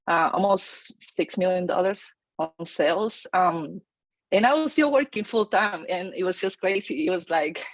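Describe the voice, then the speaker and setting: A woman, her voice sitting on the low side. She talks energetically, full of ums and restarts, in a dry room. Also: dry recording, poor audio. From Chile. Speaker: real estate agent, guest. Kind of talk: casual interview storytelling.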